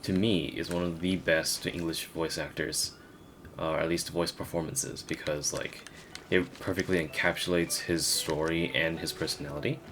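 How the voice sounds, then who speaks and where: Male gaming commentator: deep register, casual, hesitant think-aloud delivery, audible background noise. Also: steady tone, moderate pace, fairly bright tone. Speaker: gaming video creator.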